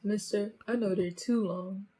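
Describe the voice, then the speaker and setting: Woman playing mellow, wary gangster's gal. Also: mid-range voice, fairly even intonation, unhurried, slightly rough, poor audio. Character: tough, wary gangster's gal, streetwise and dismissive. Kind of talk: student radio play acting.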